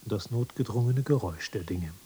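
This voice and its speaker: Lively, precise radio narrator. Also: male voice, fairly low pitch, normal speed, slightly rough. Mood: detached, precise. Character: precise, detached male narrator observing an absurd struggle. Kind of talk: literary radio drama narration.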